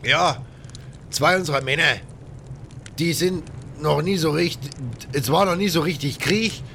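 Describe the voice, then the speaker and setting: A man voicing a rough, chatty pirate in a game audio drama, rambling in coarse colloquial speech with grudges. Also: unhurried, pushed and bright, heavily acted. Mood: gruff, aggrieved. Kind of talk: acted game dialogue, colloquial storytelling. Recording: faint rumbling background noise.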